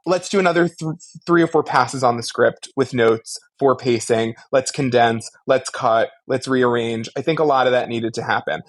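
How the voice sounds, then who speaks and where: Guy cohosting a podcast, average pitch, breaking stuff down in an articulate emphatic way, casual critique. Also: moderate pace. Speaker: podcast co-host. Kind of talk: casual media discussion and critique.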